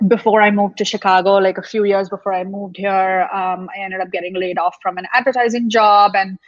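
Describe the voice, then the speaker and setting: A woman's voice: medium pitch, fairly even intonation, a little fast, no echo. Chatty woman from Mumbai, muffled sound. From India, Mumbai. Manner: chatty, laughs easily, many fillers. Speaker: guest chef. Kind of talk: conversational interview.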